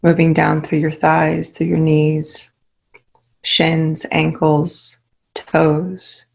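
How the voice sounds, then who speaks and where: A woman leading a guided meditation, giving calm, soothing instructions with many pauses. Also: sits low, creaky.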